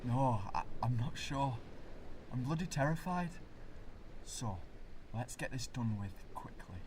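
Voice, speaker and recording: A man playing a gruff, dutiful young soldier in an audio drama, speaking bluntly. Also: mid-range voice, lively intonation, a little slow, close to an everyday voice. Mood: tense, afraid. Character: gruff, dutiful underage WW1 soldier, newly made sergeant. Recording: distant mic, noisy battlefield ambience.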